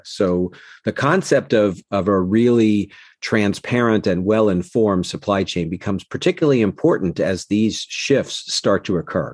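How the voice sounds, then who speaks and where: A man's voice: sits low, darkish tone, breathy edge, dry recording, clean studio sound. A man hosting a podcast, summarizing points and asking leading questions. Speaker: podcast host.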